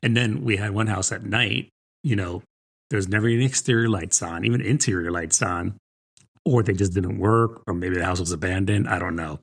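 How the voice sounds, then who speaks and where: A man's voice: low voice, a little fast, creaky, slightly rough. A middle-aged man from New Jersey with an American accent, co-hosting a podcast in a casual, chatty, informal way. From New Jersey, United States. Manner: informal, chatty, peppered with rhetorical "right?" and "I mean". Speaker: podcast co-host. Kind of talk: casual conversational book chat.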